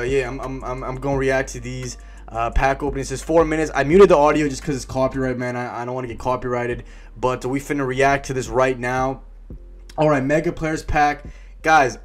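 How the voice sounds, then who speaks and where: A young man in his late teens rambling casually over a pack-opening reaction. He uses lots of slang and fillers, and his voice is wearing thin. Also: on the high side. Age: young adult. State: losing his voice. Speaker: YouTube gaming creator.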